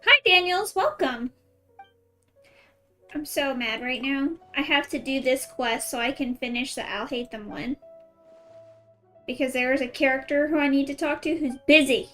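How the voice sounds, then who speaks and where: A woman's voice: high voice, moderate pace, pauses often, fairly bright tone, soft background music. A woman doing casual live gameplay commentary as a streamer, with playful, reactive asides. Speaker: game streamer.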